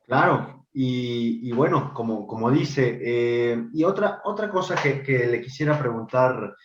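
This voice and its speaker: A male interviewer asking polite, halting questions in formal address. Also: mid-range voice, expressive, a little hiss or hum. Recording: dull echoey room sound.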